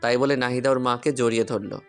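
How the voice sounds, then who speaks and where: A man's voice: mid-range voice, fairly even intonation, fairly quick. A man narrating a scripted story in the first person. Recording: music under the voice. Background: guitar music.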